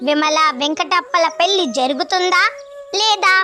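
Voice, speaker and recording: A woman's voice: extremely high pitch, normal speed, bright, forceful tone, faint music behind. A woman giving a scripted promotional announcement for a children's story channel. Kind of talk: scripted promotional intro and outro.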